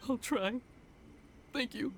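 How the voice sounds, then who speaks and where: A man voicing a nervous, crestfallen young man in a video game, speaking haltingly and dejectedly. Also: very high-pitched, fairly bright tone, slight background noise, full character voice.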